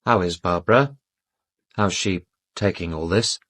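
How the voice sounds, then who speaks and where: An actor playing an educated, defensive English gentleman and weary secret lover in a British radio drama, speaking politely and guardedly with wry self-deprecation. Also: low-pitched, measured pace, no room sound. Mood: shaken, guarded.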